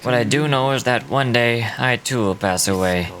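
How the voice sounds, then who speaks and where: A man voicing a weary, solemn old guide of lost souls in a game, reading dramatically in a grave, measured, reflective way. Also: mid-range voice, a bit bright, close to an everyday voice, low-quality recording. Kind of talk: dramatic read-aloud of game dialogue.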